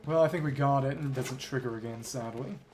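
A woman's voice: rumbling low, fast talker, darkish tone, rough recording. A woman streamer giving live gameplay commentary, musing aloud and reading out game dialogue as she plays. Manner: musing aloud, switching between reading and reacting. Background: game music.

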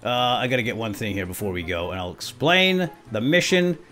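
Animated man playing and narrating a game. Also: mid-range voice. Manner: playful, exclaiming, repeats words in quick bursts. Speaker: gaming video host. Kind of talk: excitable role-play gameplay commentary.

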